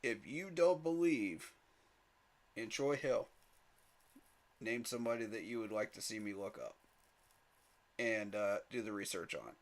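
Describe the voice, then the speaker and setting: A man's voice: on the low side, lively intonation, unhurried, pauses often. A man hosting a live sports fan stream, talking straight to his chat in an emphatic, pleading way and chuckling at rival fans.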